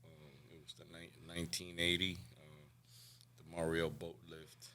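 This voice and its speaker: A man in his forties from Cuba, a guest on a recovery podcast, telling his life story candidly and often trailing off softly. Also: low voice, little pitch movement, moderate pace, a little hiss or hum. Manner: candid, soft-spoken, trails off quietly.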